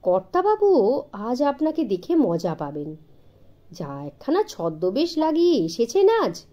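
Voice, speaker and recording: A woman plays a sly manservant in a children's audio story. The voice is high and swings freely as he teases with mock surprise and mild scorn. The recording is a little dull. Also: wildly swooping pitch, unhurried, mellow. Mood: amused. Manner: teasing, mockingly surprised. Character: sly, teasing manservant, man, mildly scornful.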